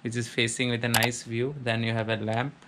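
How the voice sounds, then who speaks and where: A man's voice: medium pitch, flat delivery, fairly quick, very noisy. A man casually narrating a walkthrough of a small student apartment, listing its features in a plain, matter-of-fact way. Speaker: vlogger giving a house tour.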